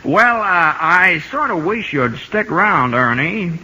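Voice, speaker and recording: An actor playing an earnest, easygoing young country ballplayer in a radio drama, halting and folksy in his talk. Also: high voice, sing-song, pushed and bright, husky, exaggerated voice. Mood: happy, bashful. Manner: halting, folksy, repeats himself. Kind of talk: 1950s radio drama acting. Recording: muffled 1950 radio broadcast, music behind.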